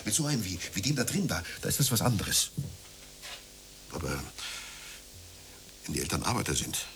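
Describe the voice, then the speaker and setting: Radio play: bitter working-class man, ranting and contemptuous, very animated intonation, audible hiss behind. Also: low voice, normal speed, creaky, full character voice. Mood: aggrieved, reproachful. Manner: scornful, ranting, emphatic. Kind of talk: naturalistic radio play dialogue.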